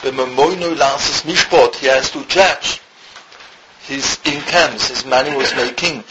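Rabbi from Switzerland, halting second-language English, reads Hebrew fast; muffled recording with loud hiss. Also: male voice, on the high side. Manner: halting non-native English, rapid Hebrew reading. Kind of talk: Torah lecture, reading Hebrew text then translating.

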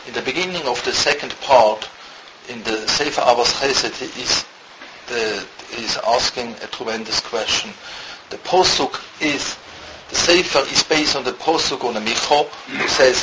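A man from Switzerland teaching a Torah lesson in halting non-native English, quickly reading out Hebrew text and then translating it. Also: mid-range voice. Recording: muffled with loud background hiss.

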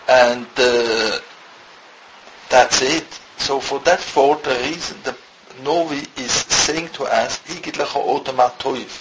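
Man from Switzerland lecturing in halting English. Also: medium pitch. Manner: halting non-native English, rapid Hebrew reading. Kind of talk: Torah lecture, reading Hebrew text then translating. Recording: muffled with loud background hiss.

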